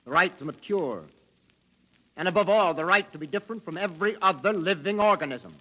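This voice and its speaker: A man's voice: fairly high pitch, bright, forceful tone, breathy edge, heavily acted, low-quality recording. An actor playing an impassioned mutant prisoner who pleads for his rights in earnest, formal 1950s radio drama style. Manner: earnest, rhetorical, formal phrasing.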